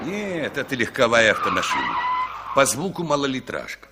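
A man's voice: mid-range voice, wildly swooping pitch, moderate pace, heavy background noise. An actor in a 1960s Soviet radio play as a sociable, good-natured male traveller, courteous and inquisitive. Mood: matter-of-fact.

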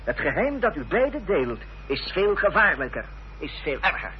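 An actor playing a determined, formal gentleman in a radio drama, earnest and insistent, on a dull, noisy old recording. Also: high-pitched, very animated, normal speed. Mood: grave, accusing. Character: determined, formal gentleman confronting an enemy's accomplice.

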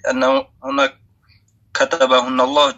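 A man's voice: mid-range voice, completely flat delivery, moderate pace, sharp tone. A male student politely asking a hesitant question in a class. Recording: dull, distant remote audio.

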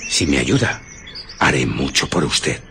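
Un actor interpretando a un hombre invisible amenazante y autoritario, un marginado resentido, en un radioteatro. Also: male voice, extremely low pitch, sing-song, measured pace, gravelly, bright, forceful tone, a little hiss or hum, heavily acted. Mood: menacing, insistent. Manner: commanding, coercive. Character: menacing, domineering invisible man, a bitter outcast scientist. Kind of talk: radio drama acting.

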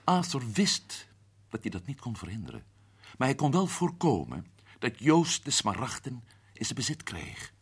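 A man playing a shrewd man explaining the solution of a mystery in a radio play, husky and measured. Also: mid-range voice, big pitch swings. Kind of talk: radio drama acting.

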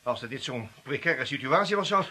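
An actor playing an impatient, wry male accomplice in a radio drama, with a husky voice. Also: mid-range voice, expressive, fairly quick, pushed and bright.

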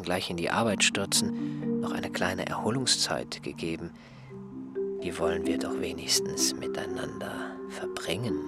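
An actor playing Jeremias, a tired and sullen former assistant, who speaks in a cold, dismissive way in a radio play. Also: male voice, low-pitched, lightly acted. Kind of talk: German radio play acting.

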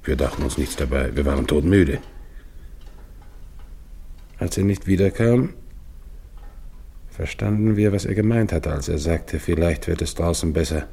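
An actor playing an anguished, guilt-ridden, dying polar expedition captain in a German radio play, with halting, brooding delivery. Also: male voice, low-pitched, expressive, dark tone, slightly rough, full character voice. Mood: grieving, weary.

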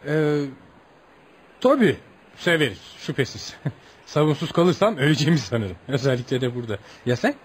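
An actor playing a polite, curious American traveller in a radio play, theatrical and musing, with amused asides to himself. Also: male voice, mid-range voice, lively intonation, moderate pace, slightly rough. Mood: surprised, humouring.

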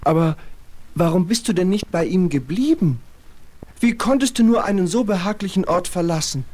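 A man's voice: high voice, animated, normal speed, darkish tone. A man playing a naive, lovesick snowman with an earnest, wondering manner in a children's fairy-tale audio drama. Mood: shocked, curious.

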